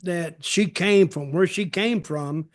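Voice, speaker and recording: An older man with a somewhat high voice talks casually on a podcast. He gives his opinions haltingly, often repeating a phrase and starting it again. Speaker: podcast co-host and wrestling veteran. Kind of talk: casual chat, reminiscing and giving opinions.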